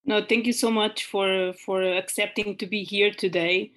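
Lady moderator on a video call, flat even tone, lots of ums. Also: mid-range voice, a little slow. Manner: hesitant, many fillers. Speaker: panel moderator. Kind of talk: conference panel moderation.

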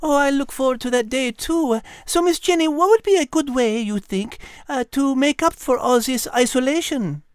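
A woman's voice: high-pitched, moderate pace, few pauses, clear, resonant tone, dry recording, studio quality, natural voice. A woman voicing a polite, prim and clever French cat who co-hosts a children's podcast, speaking daintily with a French accent. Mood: warm, courteous. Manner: polite, dainty, hesitant when flustered. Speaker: podcast co-host character.

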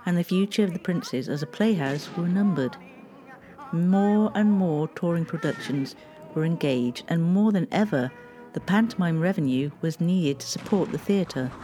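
Low mellow woman narrating. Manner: measured, reads dates and figures. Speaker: local history narrator. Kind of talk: scripted history narration.

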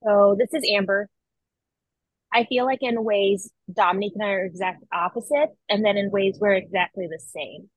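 A young woman talking as a podcast guest, thoughtful and measured in her reflections. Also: medium pitch, no echo, poor audio. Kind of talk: casual chat.

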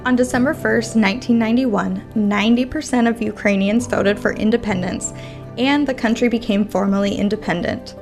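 Woman, fairly high voice, polished podcast host, scripted, faint music. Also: a little fast, a little mellow.

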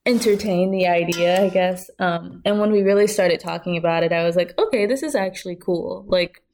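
A woman's voice: lowish voice, brisk, a little mellow, slight background noise. A young woman talking casually as a guest in an interview. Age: young adult. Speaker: guest, podcast co-creator.

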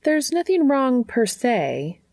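A woman's voice: high voice, big pitch swings, slow, darkish tone, no room sound, voice pushed up, full character voice. A woman voicing a weary, reluctant woman realtor in an audio story, with a guarded manner.

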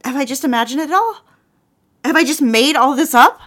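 A woman's voice: high-pitched, expressive, moderate pace, a bit bright, no echo, clean studio sound. An actress playing a proper, wounded young secretary, speaking earnestly and primly in an audio drama and confiding her story. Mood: hurt, pleading. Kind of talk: dramatic scripted narration and dialogue.

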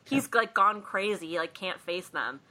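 A woman's voice: medium pitch, expressive, normal speed, bright, forceful tone. A woman co-hosting a podcast, retelling a film plot in a casual, wry way with mimicked asides. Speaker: podcast co-host.

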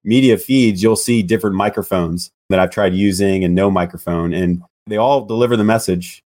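A man with a loud voice speaking as a podcast guest, relaxed and hesitant, full of "you know"s. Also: low-pitched, normal speed. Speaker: guest, loan officer. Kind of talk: casual interview chat.

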